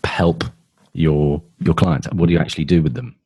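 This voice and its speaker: A man's voice: extremely low pitch, flat delivery, moderate pace, warm tone, no echo. A man hosting an interview, asking conversational questions with frequent stumbles and restarts. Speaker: interview host.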